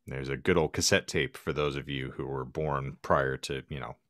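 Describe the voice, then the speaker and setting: A man's voice: extremely low pitch, steady tone, normal speed, a bit bright, no room sound, clean studio sound. A man hosting a podcast with wry, sarcastic asides, bantering casually and reading bits aloud. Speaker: podcast host.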